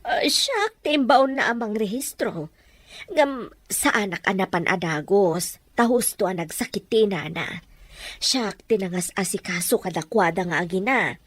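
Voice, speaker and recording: A woman playing a gentle, anguished mother in an audio drama, speaking with emotional, pleading delivery. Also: medium pitch, lively intonation, normal speed, dry recording, close to an everyday voice. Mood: defensive, distressed. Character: gentle, anguished Filipino mother guarding an adoption secret.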